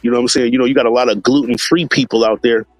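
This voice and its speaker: Chill dude hosting a live stream, kinda stuffy nose, lots of "you know what i'm saying", dry sound with faint music under it. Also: male voice, medium pitch, steady tone, rapid-fire, no echo, poor audio. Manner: laid-back, slangy, many fillers, greets chat viewers by name. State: stuffed up from allergies. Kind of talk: casual live stream chat.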